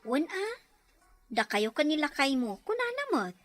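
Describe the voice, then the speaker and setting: A woman's voice: high voice, very animated, unhurried, a bit bright, dry recording, soft background music, full character voice. A woman playing a talkative, teasing married friend in a family drama, with an Ilocano accent. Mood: wheedling. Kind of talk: acted family drama dialogue.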